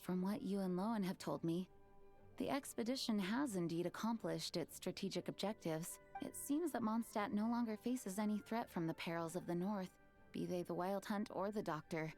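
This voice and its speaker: An actress voicing a dutiful female acting grandmaster in a video game, speaking in a clear, formal way. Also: mid-range voice, normal speed, a little mellow, soft background music, close to an everyday voice. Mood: thoughtful.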